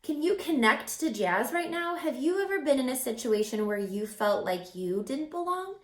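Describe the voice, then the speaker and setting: A woman reading a children's picture book aloud as a teacher, expressively, pausing to put questions to young listeners. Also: sits high, very animated, echoey room.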